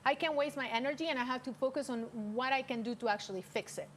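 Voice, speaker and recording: A woman's voice: high-pitched, fast talker. A middle-aged woman from Peru, a non-native English speaker, talking earnestly and upbeat as a guest on a TV interview in a studio. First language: Spanish. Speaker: guest entrepreneur.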